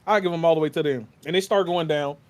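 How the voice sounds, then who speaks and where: A man in his mid-twenties pipes up in a high, quick voice during a gaming chat. He is blunt and slangy, swears freely, and argues his point hard. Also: a little mellow. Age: 20s.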